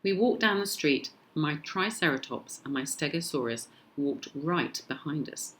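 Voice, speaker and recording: A woman with a British accent reading a children's storybook aloud in an expressive narrating style. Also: low voice, measured pace, low-quality recording. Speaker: storybook reader.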